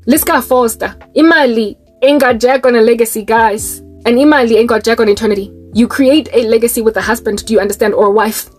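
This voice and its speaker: A woman's voice: fairly high pitch, fairly even intonation, fairly quick, hardly any pauses, soft background music. A South African woman in her late thirties, preaching to her viewers in an exhorting, rhetorical monologue. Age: 30s.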